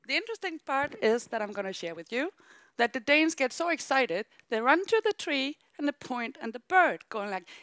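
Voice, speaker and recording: Woman keynote speaker, lively storytelling, higher voice, swinging pitch, dry close sound from a handheld mic on a big theater stage. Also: moderate pace, no room sound. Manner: animated, acts out voices in quotes. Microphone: handheld microphone.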